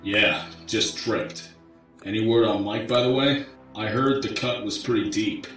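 A weary male maintenance worker played by an actor in an audio drama, grumbling with dry sarcasm. His voice is fairly low and slow, and it echoes heavily as if down a tunnel. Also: a bit bright, faint music behind. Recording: echoey tunnel, distant from the mic.

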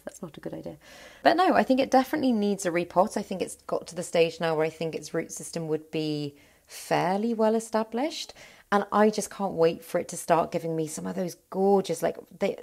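Woman, enthusiastic plant vlogger, slightly low voice, a touch croaky; casual rambling home tour on a phone. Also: a little mellow. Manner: chatty, enthusiastic, rambling with restarts. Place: indoor plant cabinet at home.

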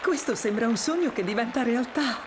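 A woman playing a gracious, doting older queen and mother in an animated game dub. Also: on the high side, brisk, a crowd in the background, background noise, lightly acted.

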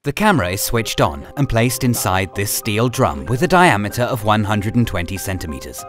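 Low, lively male training film narrator. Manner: measured, instructional. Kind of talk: scripted narration. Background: music.

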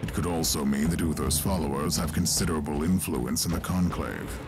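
An actor playing a stern, commanding inquisitor in a video game, curt and authoritative. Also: male voice, extremely low pitch, brisk, noisy background. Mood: stern, controlled. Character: stern commanding male inquisitor of grim resolve. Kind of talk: scripted game dialogue. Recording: loud game music and machinery behind.

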